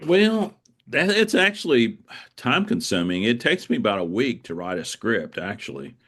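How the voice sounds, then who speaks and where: A middle-aged man speaking casually as an interview guest, explaining things in a halting way and repeating words. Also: mid-range voice, very animated, fairly bright tone. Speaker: guest, author.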